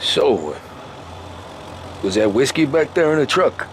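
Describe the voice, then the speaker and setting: An actor playing a quiet, polite former male cab driver in a video game. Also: medium pitch, sing-song, unhurried, slight background noise. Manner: reserved, polite.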